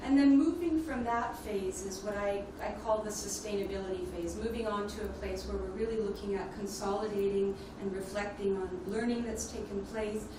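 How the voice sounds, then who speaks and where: A woman giving a prepared conference presentation as a speaker on leadership development, in a measured, explanatory way. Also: mid-range voice. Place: indoor conference plenary room. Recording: echoey hall, distant mic, noisy ambience.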